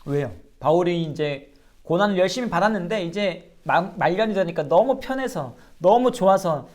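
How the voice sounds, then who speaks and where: A man's voice: high voice, animated, moderate pace. A man, a pastor, giving an earnest sermon-style Bible lecture and asking his listeners rhetorical questions. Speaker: pastor teaching a Bible class.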